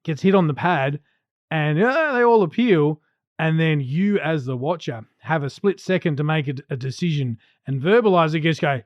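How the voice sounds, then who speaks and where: A man's voice: fairly high pitch, animated, moderate pace, no room sound. Australian man telling stories, dull dry sound. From South Australia. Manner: anecdotal, explains by acting out little quotes. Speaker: podcast co-host. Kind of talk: casual chat.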